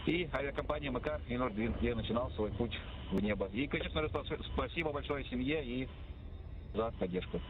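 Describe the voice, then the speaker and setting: A man's voice: medium pitch, fast talker. A man from Russia, a cosmonaut, giving a short thank-you speech over spacecraft radio. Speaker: cosmonaut, mission specialist. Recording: muffled, noisy spacecraft radio link.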